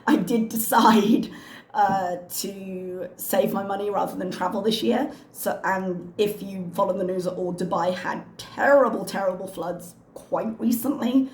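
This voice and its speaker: A woman with a hoarse, slightly strained voice, chatting casually and wryly as a reaction channel host. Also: medium pitch, unhurried, a little mellow, roomy sound, low-quality recording. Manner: chatty, wry, self-mocking. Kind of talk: casual chat to camera.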